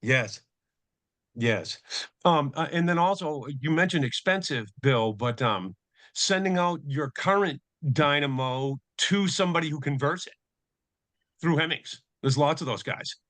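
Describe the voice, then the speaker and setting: A man's voice: a little high, animated, a little slow, fairly bright tone, no room sound. A man speaking casually and somewhat hesitantly as a participant in a group technical call, circling around his point. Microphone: conference call.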